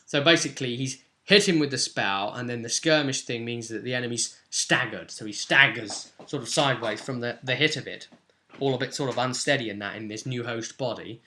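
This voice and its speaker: Bright-voiced man telling a game story. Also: mid-range voice. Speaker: game master recapping a tabletop roleplay session. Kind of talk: animated casual storytelling recap.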